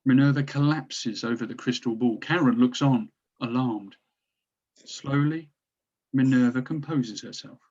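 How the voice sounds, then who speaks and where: A man's voice: mid-range voice, unhurried, a little mellow. A man narrating stage directions from a drama script, recorded on dull, lo-fi audio.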